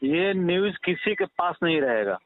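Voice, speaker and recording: A man's voice: high voice, expressive, normal speed, background noise. A man with a Bihari accent speaks informally over the phone, emphatic and effusive, often repeating his points. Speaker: caller, father of a child in the news. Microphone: telephone. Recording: tinny narrow-band phone call recording.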